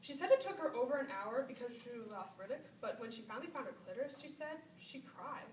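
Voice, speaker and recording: A woman's voice: high voice, expressive, fast talker. A woman performing scripted narration live on stage as part of a theatre piece. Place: stage in a hall. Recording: noisy, echoey, distant live theatre recording.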